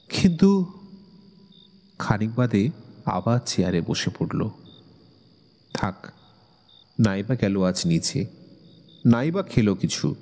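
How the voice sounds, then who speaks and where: A man narrates a story with slow, wistful delivery. His voice is lowish and dark, set in long reverb with soft music beneath. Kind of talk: dramatic scripted literary narration.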